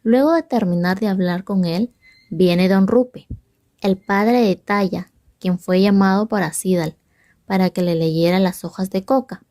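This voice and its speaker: A woman's voice: medium pitch, fairly even intonation, a little slow, mellow, no room sound, studio quality. A young woman, a student presenter, reading a scripted story summary as narrator. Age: young adult.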